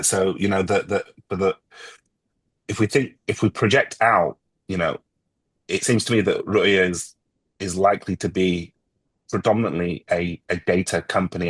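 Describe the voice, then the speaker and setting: A middle-aged man from the UK leads a relaxed interview in a low, husky voice. His questions wander before they land. The sound is dry and close, with no room around it. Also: dry recording. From United Kingdom. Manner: discursive, long winding questions. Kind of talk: casual conversational interview.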